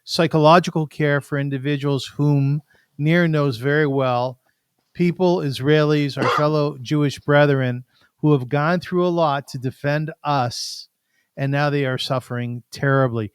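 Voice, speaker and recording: A man's voice: a little high, steady tone, unhurried, smooth, no echo. A man hosting an interview, effusive with praise and prone to repeating himself as he restarts his sentences. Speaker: show host.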